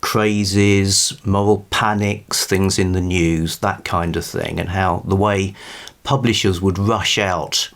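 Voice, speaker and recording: A British man rambling informally about books to camera in a halting, thoughtful, wryly amused way. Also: low-pitched, fairly even intonation, fairly bright tone. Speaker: book review vlogger.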